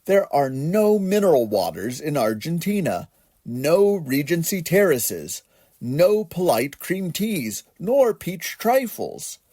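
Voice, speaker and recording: A man voicing a refined, courteous and naive gentleman collie in a children's storybook, genteel and earnest. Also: medium pitch, big pitch swings, takes it slowly, slightly rough, no echo, studio quality, exaggerated voice. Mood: distraught. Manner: genteel, earnest, easily astonished.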